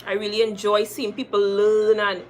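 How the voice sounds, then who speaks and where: A woman's voice: medium pitch, normal speed, faint music behind. A young adult woman with a Trinidadian accent, chatting casually on a livestream, reading viewer comments and repeating her phrases for emphasis. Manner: chatty and lively, repeating phrases, calling viewers girl and sis. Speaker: makeup artist hosting a livestream.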